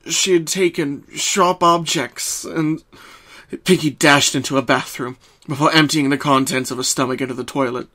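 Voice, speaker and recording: A man reading a scripted story as narrator, in a solemn, deliberate and dramatic way. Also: medium pitch, fairly bright tone.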